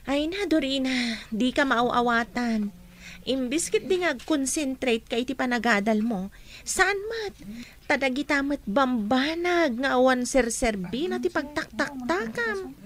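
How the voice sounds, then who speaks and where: A frank young Filipino schoolfriend in a radio drama. Her voice is very high and girlish. She talks familiarly, chiding with plain good sense. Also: expressive, measured pace, fairly bright tone. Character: young female student, sensible, frank schoolfriend.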